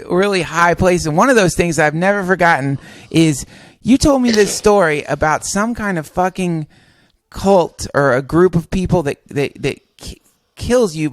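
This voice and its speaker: A man's voice: a little high, dry recording, clean studio sound. A man hosting a casual podcast from a small home setup, rambling and swearing as he introduces and questions his guest. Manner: rambling, profane, playful intros. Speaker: podcast host. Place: home studio.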